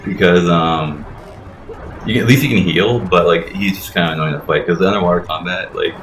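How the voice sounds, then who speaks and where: A deep-voiced man chats casually through a video game, explaining things at a rapid clip and swearing freely. The room adds clear echo, and game music plays faintly underneath. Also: fairly bright tone, a little hiss or hum. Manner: explanatory, profane. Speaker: let's play host playing and explaining the game. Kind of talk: casual gameplay commentary.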